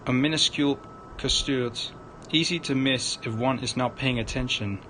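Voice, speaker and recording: A man narrating a visual novel aloud on a livestream, dramatic but halting, stumbling over the written words. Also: pushed and bright. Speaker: streamer, narrator. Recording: noisy room with low rumble.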